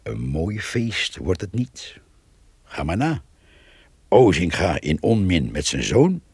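An actor playing a gossipy, wry village storyteller, narrating a radio play in short conversational sentences with a husky, gravelly voice. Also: male voice, low voice, sing-song, takes it slowly, darkish tone, dry recording, heavily acted.

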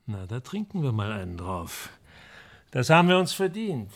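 An actor in a radio play as an eager, practical musician friend, anxious and placating, talking in a natural, coaxing way. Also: male voice, mid-range voice, big pitch swings, moderate pace, lightly acted.

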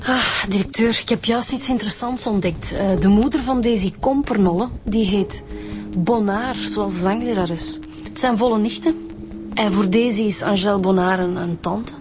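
A woman's voice: mid-range voice, moderate pace, over music, natural voice. A woman playing an eager, matter-of-fact homicide detective reporting findings in a radio drama, with a Flemish accent. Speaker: police detective.

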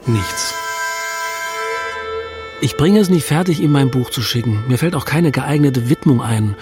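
An actor playing a self-doubting writer in a radio drama, recounting things in a reflective, confessional way. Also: male voice, medium pitch, lively intonation, unhurried, over music, close to an everyday voice. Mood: rueful, reflective. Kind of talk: radio drama narration and dialogue.

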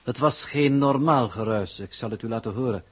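Casting: man playing an authoritative male coordinator for vintage sci-fi radio drama, mid-pitched, slightly husky, formal and exact. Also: lightly acted. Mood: measured, explanatory. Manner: formal, measured, pedantic wording. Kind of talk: 1960s Dutch radio drama acting.

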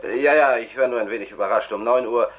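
An actor playing a cautious, courteous chief programmer in a 1960s radio play, polite and guarded. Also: male voice, medium pitch, quick pace, fairly bright tone, close to an everyday voice. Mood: guarded, surprised.

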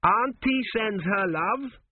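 A man's voice: medium pitch, lively intonation, unhurried, slightly rough, no room sound. A man voicing an earnest, flustered young king with a raised, eager tone. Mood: coaxing. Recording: tinny narrow-band audio.

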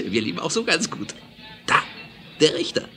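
An actor playing a jolly reformed Wild West bandit in a 1970s German audio drama, excitable and exaggerated. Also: male voice, high voice, soft background music, full character voice. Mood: jolly, curious. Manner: excitable, exaggerated, quick to chuckle. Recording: noisy old vinyl radio-play recording.

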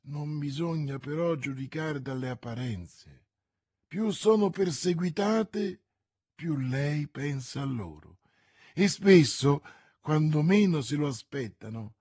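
A man's voice: mid-range voice, unhurried, darkish tone, slightly rough, no room sound, studio quality. A man voicing a young, eager female convent novice who gushes with trusting enthusiasm in an audiobook. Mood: earnest. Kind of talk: audiobook character reading.

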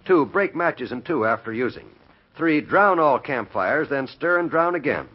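Old timey radio announcer guy, husky, really lively intonation, muffled lo-fi recording. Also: medium pitch, normal speed. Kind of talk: 1950s radio announcing, scripted.

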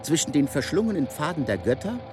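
A man giving reflective intellectual commentary in deliberate, formally phrased speech. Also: fairly high pitch, big pitch swings. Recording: music playing clearly underneath.

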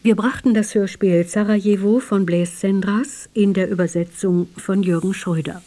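A woman's voice: medium pitch, fairly even intonation, moderate pace, dark tone. A woman reading a formal, scripted radio announcement as a studio announcer.

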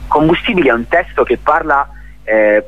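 A man from Italy giving a spontaneous radio interview as a theatre director, speaking haltingly and repeating words as he searches for phrasing. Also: medium pitch, animated, no room sound, phone-quality sound.

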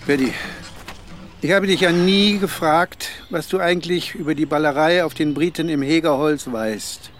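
Radio crime drama: insistent investigator played by a man, middle-aged, raised pitch, informal, probing delivery. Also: a little hiss or hum, natural voice. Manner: familiar, probing, halting.

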